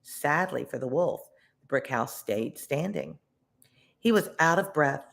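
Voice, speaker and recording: A read-along storyteller reading a children's tale expressively and deliberately, pausing to explain words simply like a tutor. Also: medium pitch, lively intonation, gravelly, fairly bright tone.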